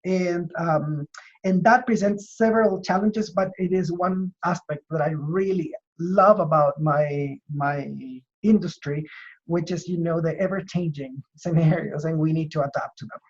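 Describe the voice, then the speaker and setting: A man's voice: fairly high pitch, measured pace. Chilean-accented man in dull lo-fi audio. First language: Spanish. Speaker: university administrator being interviewed. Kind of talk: interview answers, explanatory.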